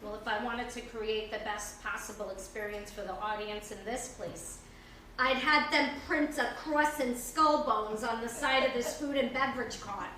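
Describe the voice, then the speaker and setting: An actress plays a bossy, meddling woman with a sarcastic bite in a stage play reading. Her voice is very high and sharp. It comes from a distance in a heavily echoing room. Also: normal speed. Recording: distant echoey stage recording with noise.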